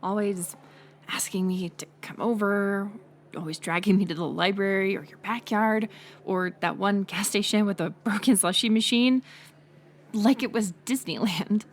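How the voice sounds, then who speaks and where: Woman voicing college-age ex-friend bully, bitter and hurt, slow halting confession, gravelly fry, intimate roleplay drama, light background hiss. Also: medium pitch, natural voice. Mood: wistful, resentful. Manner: halting confessional delivery, drifting into breathy near-whispers.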